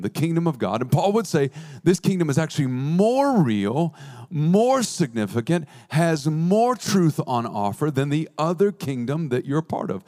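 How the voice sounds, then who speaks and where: A man preaching a sermon as a pastor, earnest with playful asides, slowing down to stress key phrases. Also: fairly high pitch, darkish tone.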